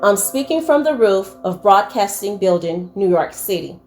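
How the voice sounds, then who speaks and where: A woman's voice: medium pitch, animated, a little mellow, lightly acted. Woman acting solemn announcer, faint noise behind. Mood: grave. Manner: measured, formal announcing. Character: solemn rooftop news announcer during invasion. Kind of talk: radio drama acting. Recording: faintly noisy radio play ambience.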